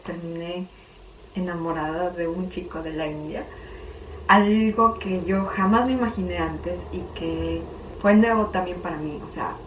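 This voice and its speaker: A young woman from Ecuador with a Quito accent, talking chattily in a personal vlog and sometimes pausing to search for words. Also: on the low side, highs cut off. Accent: Quito Ecuadorian Spanish. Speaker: vlogger. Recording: roomy, distant, faint background hum.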